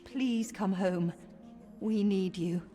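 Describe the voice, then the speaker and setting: An actress playing an earnest, pleading younger sister of a noble family, reading formally and dramatically in a game cutscene. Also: female voice, mid-range voice, dark tone, close to an everyday voice. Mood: grieving, pleading. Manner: formal, imploring, measured. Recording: long reverb, faint music.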